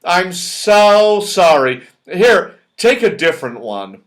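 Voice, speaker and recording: A man's voice: sits high, sing-song, slow pace, voice pushed up, exaggerated voice. A man voicing a jolly, kindly magical big-faced man in a children's storybook, hearty and exclaiming. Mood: apologetic.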